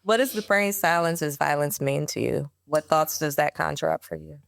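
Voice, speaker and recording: Chatty woman podcast host, lower voice. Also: gravelly, no echo, clean studio sound. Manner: affirming, chatty, quick to laugh. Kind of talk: conversational interview.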